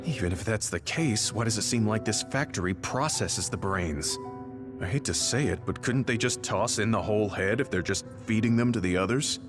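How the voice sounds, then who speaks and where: An actor playing a level-headed, observant soldier in a video game cutscene. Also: male voice, lowish voice, moderate pace, over music, lightly acted. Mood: puzzled.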